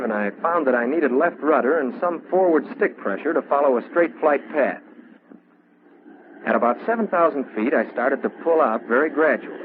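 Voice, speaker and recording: Male actor as confident young American pilot, 1940s training film narration, measured storytelling, tinny bandwidth, clear background noise. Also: medium pitch, natural voice. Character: confident young male military pilot recounting his practice flights. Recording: muffled, very noisy 1940s film soundtrack.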